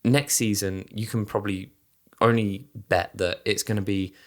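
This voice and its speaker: A man's voice: fairly low pitch, steady tone, normal speed. A man co-hosting a podcast, chatting casually with lots of fillers and restarts. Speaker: podcast co-host. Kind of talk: casual chat about racing.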